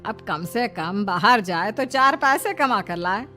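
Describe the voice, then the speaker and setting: A woman's voice: medium pitch, lively intonation, a little slow, sharp tone, faint music behind. A woman voicing a long-suffering, caring older mother-in-law in a cartoon family drama, earnest and plaintive. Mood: sly, matter-of-fact.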